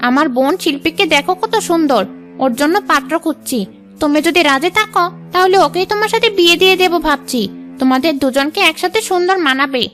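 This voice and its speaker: A scheming older stepmother from a cartoon, acted by a woman, very high and piercing in pitch. She is greedy and calculating as she coaxes, over faint background music. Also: fast talker, fairly bright tone. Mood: persuasive, fed up. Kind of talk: Bengali cartoon dialogue.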